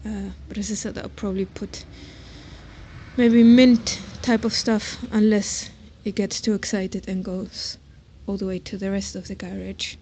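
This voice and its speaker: Hesitant woman in an even tone, outdoors. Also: mid-range voice, measured pace. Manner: hesitant, informal, thinking aloud while walking. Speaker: host giving a tour of their own garden plot. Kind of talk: casual unscripted walking tour narration. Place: outdoors around a house and garden. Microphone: handheld camera or phone while walking. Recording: noisy outdoor handheld recording, ambient sound.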